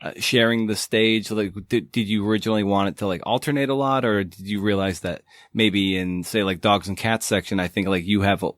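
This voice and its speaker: A man's voice: on the low side, fairly even intonation, a little fast, hardly any pauses, dry recording. A man hosting a podcast, speaking close to the microphone. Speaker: podcast host, interviewer.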